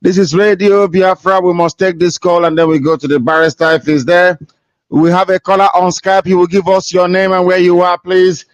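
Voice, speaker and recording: A man's voice: sits high, level tone, moderate pace, no room sound. Formal male call-in radio host. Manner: formal, repeats station name between calls. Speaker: radio host taking calls. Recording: dry, dull lo-fi sound.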